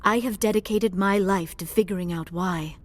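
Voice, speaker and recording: An actress playing an earnest young alien researcher in a video game, speaking in a formal, precise, measured way. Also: female voice, medium pitch, lightly acted. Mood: intent, scholarly. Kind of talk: scripted video game dialogue.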